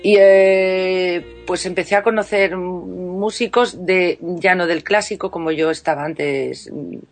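A woman's voice: on the low side, steady tone, slow, faint music behind. Chatty woman singer, radio guest. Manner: warm, chatty, many restarts and fillers. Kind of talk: casual radio interview.

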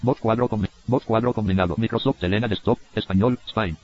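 A low male text-to-speech voice rattles off menu labels at high speed. It sounds mechanical and clipped, with words often chopped short, and the audio is dull. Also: fairly quick. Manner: flat, mechanical, often cut off mid-word. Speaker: screen reader. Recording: dull, lo-fi computer audio capture.

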